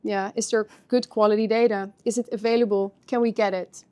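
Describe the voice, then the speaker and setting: A woman's voice: medium pitch, brisk, smooth. A woman from the Netherlands with a Dutch accent, talking frankly and casually on a podcast about her career, with plenty of fillers. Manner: frank and animated, with many fillers and laughing asides. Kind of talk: casual conversational interview.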